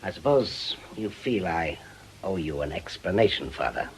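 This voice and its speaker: A man's voice: low-pitched, expressive, normal speed, creaky, slight background noise. An actor with a British accent playing a brusque, sardonic, middle-aged retired policeman in a radio drama. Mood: bitter. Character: gruff, bitter retired policeman, brusque and proud.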